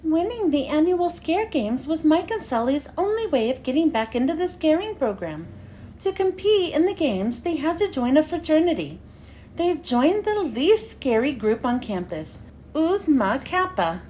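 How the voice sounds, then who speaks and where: Female kids' read-aloud host: expressive, very lively intonation, high voice, slightly noisy recording. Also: normal speed. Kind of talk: children's storybook read-aloud.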